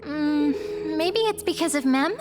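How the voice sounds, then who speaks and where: A woman voicing a gentle, pensive young girl companion in a video game, musing a little hesitantly. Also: high voice, slow pace. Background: game music.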